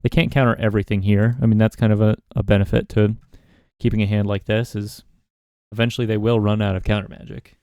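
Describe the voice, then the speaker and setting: Low mellow-voiced man musing over his plays. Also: fast, no room sound. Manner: relaxed, musing, talks himself through plays. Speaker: gaming content creator. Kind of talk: thinking-aloud card game commentary.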